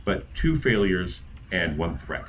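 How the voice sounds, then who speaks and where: A man with a lowish voice that swings widely in pitch as he plays at a tabletop game. He is eager and jokes along with the group. A faint hiss runs underneath. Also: very animated, takes it slowly. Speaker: player. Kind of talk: casual tabletop play.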